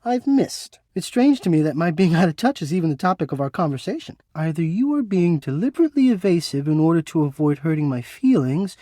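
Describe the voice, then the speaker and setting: A man's voice: on the high side, animated, a little fast, hardly any pauses, warm tone, no echo, studio quality. An actor voicing a sentient home ventilation system in a video game, an eloquent, dramatic male romantic with florid, formal delivery. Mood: wounded, indignant. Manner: florid, theatrical, formal wording.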